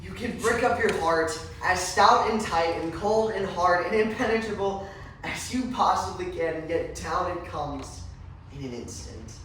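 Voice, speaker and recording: A man giving a solemn, measured dramatic recitation of literary prose on stage. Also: high-pitched, fairly bright tone. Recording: echoey room, far mic, noisy.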